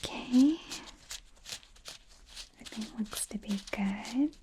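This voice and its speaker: A woman's voice: a little high, slow, slight background noise. Woman acting a whispering, nervous werewolf doctor. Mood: gentle, apologetic. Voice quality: soft, breathy whisper. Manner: hesitant, stammering, self-correcting, apologetic. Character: nervous, friendly young female werewolf doctor in training. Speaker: ASMR roleplayer. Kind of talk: whispered ASMR medical roleplay.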